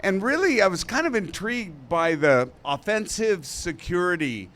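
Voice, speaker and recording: A man's voice: high-pitched, big pitch swings, moderate pace, fairly bright tone. A man moderating a conference panel, upbeat and informal as he sets up the discussion. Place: conference hall stage.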